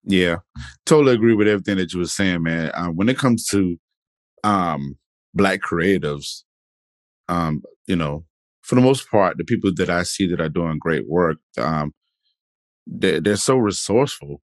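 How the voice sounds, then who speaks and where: A man's voice: low-pitched, animated, normal speed, no echo. A man hosting a podcast interview, talking in a laid-back, casual way and dropping plenty of "man" and "you know". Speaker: podcast host.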